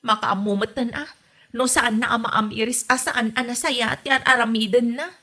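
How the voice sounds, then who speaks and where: A woman's voice: on the high side, fairly even intonation, moderate pace, bright, forceful tone. A woman playing an exasperated young wife in a comedy drama, complaining melodramatically with a northern Philippine accent. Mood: weary, aggrieved. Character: exasperated young wife fed up with her meddling mother-in-law. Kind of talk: comedy radio drama acting.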